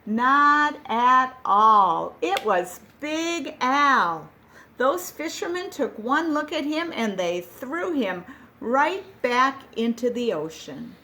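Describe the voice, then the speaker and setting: Slow, expressive woman reading to children. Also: high voice. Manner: gentle, expressive, slow with dramatic pauses. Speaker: storyteller reading a picture book aloud. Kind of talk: children's read-aloud.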